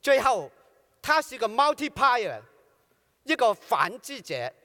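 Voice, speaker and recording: A man's voice: very high voice, very animated, slow pace, sharp tone. A man hosting a conference, formally introducing the guest preacher. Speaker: conference host. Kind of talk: speaker introduction. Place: large church hall. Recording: hall reverb.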